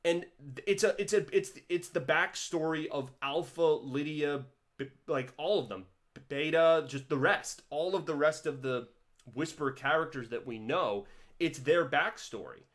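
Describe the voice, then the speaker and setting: A man giving a casual commentary vlog, rambling with many restarts and swinging his pitch and loudness animatedly. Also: unhurried. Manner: rambling, with frequent restarts and stumbles. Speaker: vlogger reviewing a TV series.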